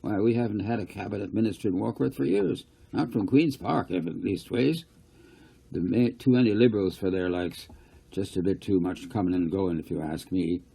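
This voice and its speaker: A man's voice: lowish voice, moderate pace, gravelly, warm tone, breathy edge, rough recording. A man voicing an older rural farmer in a storybook reading, plain-spoken, curious and musing in a folksy way. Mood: puzzled, gossipy.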